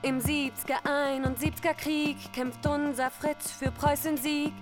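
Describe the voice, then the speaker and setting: Singsong mock-heroic ballad teller, very high and slow, music playing behind. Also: female voice, flat delivery. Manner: singsong rhyming delivery. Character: mock-heroic female rhymester telling a comic ballad. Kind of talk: comic verse in a radio play.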